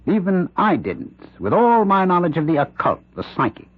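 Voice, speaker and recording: An actor playing a condemned professor who claims a killer spirit possesses him, with halting, intense, theatrical delivery in an old-time radio drama. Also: male voice, sits high, very animated, husky, dry recording, heavily acted. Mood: pleading. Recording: dull, band-limited radio drama recording.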